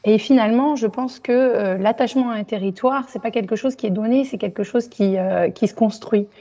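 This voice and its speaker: Mellow, composed French businesswoman. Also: fairly high pitch, a little fast, highs cut off. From France, Limoges. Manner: composed, thoughtful, with fillers. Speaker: business owner, guest. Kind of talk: interview answers.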